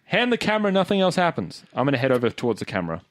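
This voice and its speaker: Man with very animated pitch, gaming. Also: racing. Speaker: tabletop game player. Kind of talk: casual improvised game table chat.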